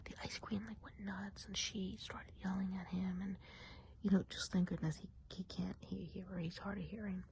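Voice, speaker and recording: A woman's voice: mid-range voice, little pitch movement, moderate pace, creaky, a little mellow, slight background noise. Whispering, gossipy woman in ASMR witch roleplay. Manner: whispered, rambling, confiding, with casual filler-laden asides. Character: friendly, gossipy witch of the enchanted woods.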